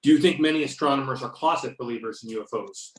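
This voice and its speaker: A man's voice: medium pitch, fast, close to an everyday voice. Man reading as distant, echoey curious interviewer. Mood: curious, polite. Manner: polite, inquiring. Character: male interviewer, curious, politely probing the UFO expert. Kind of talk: amateur script reading of interview questions. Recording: echoey room, distant from the mic.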